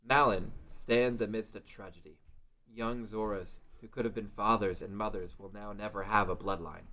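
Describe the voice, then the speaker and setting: A man's voice: on the low side, steady tone, normal speed, pushed and bright, rough recording. A man narrating a scripted fantasy story as the narrator of a table read.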